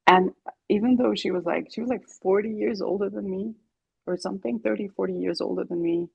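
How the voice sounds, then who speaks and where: A woman from Sweden, a singer-songwriter, chatting casually as an interview guest, relaxed and laughing often. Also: medium pitch, a little fast, vocal fry, husky, no echo, highs cut off. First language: Greek and Finnish. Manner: relaxed, laughs often, many fillers and restarts.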